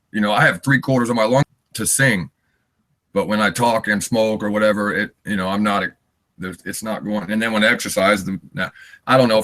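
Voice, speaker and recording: Raspy fifty-year-old man, bright voice. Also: lowish voice, rough recording. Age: 50s. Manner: wandering, candid, laughs often. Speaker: interview guest, singer. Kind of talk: rambling live interview chat.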